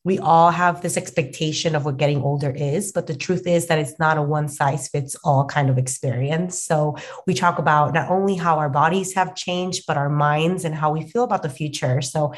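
Raspy hoarse-voiced woman in her thirties. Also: low voice, steady tone, moderate pace, few pauses. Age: 30s. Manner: chatty, lots of "like", laughs often. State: hoarse from allergies. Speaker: podcast co-host. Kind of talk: casual podcast chat.

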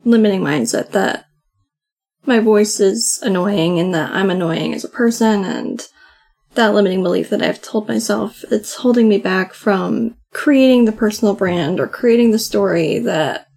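A soft-voiced woman co-hosting a podcast, talking casually and often saying "like". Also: mid-range voice, moderate pace, gravelly, breathy edge. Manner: chatty, many "like" fillers. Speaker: podcast co-host. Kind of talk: casual conversation.

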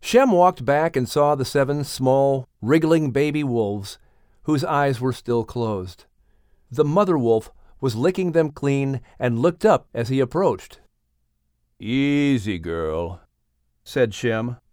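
A man's voice: medium pitch, slow pace, darkish tone, no room sound, clean studio sound. A man hosting and narrating a scripted story for a family audiobook show. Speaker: host and narrator.

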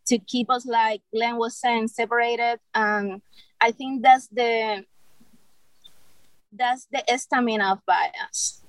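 A woman with a Cuban accent and native Spanish speaks in a somewhat high voice. She reflects haltingly in a group discussion, feeling for her words in non-native English. The sound is very dry, with no room echo. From Cuba. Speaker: discussion participant.

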